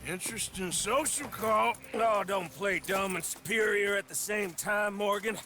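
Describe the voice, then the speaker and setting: A man's voice: sits high, unhurried, pushed and bright, faint music behind, exaggerated voice. A man playing a sneering, hot-tempered American outlaw gunman in a video game drama, taunting as he drawls. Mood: sarcastic.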